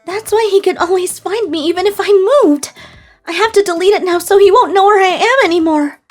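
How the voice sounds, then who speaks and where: Casting: actress as timid, sweet young woman, extremely high voice, manga romance drama, light music underneath. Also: fairly quick. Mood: frightened. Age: young adult. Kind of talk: anime-like manga drama dialogue.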